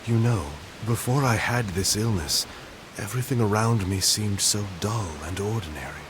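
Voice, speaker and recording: An actor playing an ailing young male composer in a game cutscene, speaking softly and reflectively. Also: on the low side, moderate pace, close to an everyday voice. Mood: wistful. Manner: soft, reflective, searching delivery. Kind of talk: video game cutscene dialogue. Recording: hissy game audio capture.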